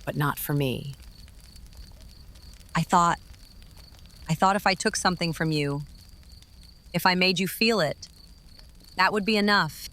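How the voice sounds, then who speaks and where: A bitter, vengeful figure in a noir audio drama. The voice is tense and sharp, mid-pitched and slow, with clipped, deliberate phrases full of menace and grief. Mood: bitter, menacing.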